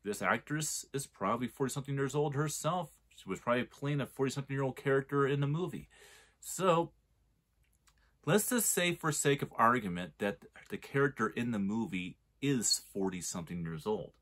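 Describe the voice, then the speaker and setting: A man's voice: medium pitch, lively intonation, moderate pace, fairly bright tone. A man in his forties giving a casual, rambling film review, often restarting his sentences as he argues a point. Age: 40s. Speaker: movie reviewer.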